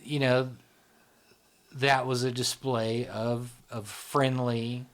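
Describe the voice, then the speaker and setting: A man's voice: medium pitch, level tone, slow pace. A middle-aged man hosting a casual talk, explaining at length with many fillers like "right?" and "you know". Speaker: show founder and host.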